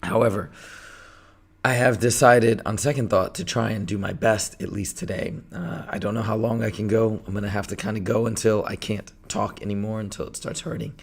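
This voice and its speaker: A man with a hoarse, failing voice leads a live-streamed math tutoring session, talking through problems step by step with energy. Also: fairly low pitch. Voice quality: gravelly, strained. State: hoarse, losing his voice.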